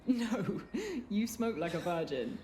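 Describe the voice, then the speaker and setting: A woman's voice: on the low side, expressive, slow pace, mellow, a little hiss or hum. A British woman playing a broke, frustrated young writer who is teasing and blunt in a naturalistic radio drama.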